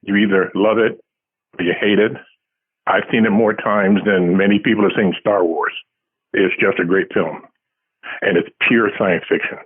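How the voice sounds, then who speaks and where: A husky, low-voiced man around seventy speaks as an interview guest over a thin phone line. He answers casually, in a modest and reflective way. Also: steady tone, moderate pace, no echo. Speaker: guest, science fiction writer. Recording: tinny, band-limited phone line.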